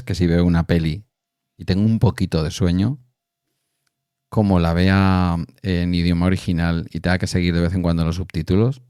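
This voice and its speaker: Deep, mellow man chatting. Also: clear, resonant tone, dry recording, studio quality. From Spain. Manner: rambling, thinking aloud with asides. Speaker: podcast host. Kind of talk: casual chat.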